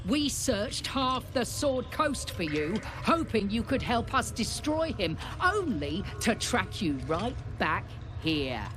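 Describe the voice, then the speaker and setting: A grave, slow-spoken monster hunter. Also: female voice, a little high, very animated, music in the background. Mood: grave, reproachful. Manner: measured, grave, accusing. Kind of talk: dramatic video game acting.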